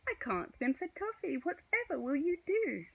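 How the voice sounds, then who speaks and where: A woman's voice: sits high, big pitch swings, moderate pace, gravelly, phone-quality sound, background noise, voice pushed up, heavily acted. A woman voicing a fretful, helpless small boy in a children's storybook, with a dramatic wail. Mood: panicked. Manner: wailing, dramatic.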